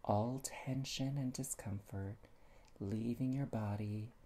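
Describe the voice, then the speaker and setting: A meditation guide speaking gently and soothingly, with long pauses and soft, breathy phrase endings. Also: medium pitch, fairly even intonation, slight background noise.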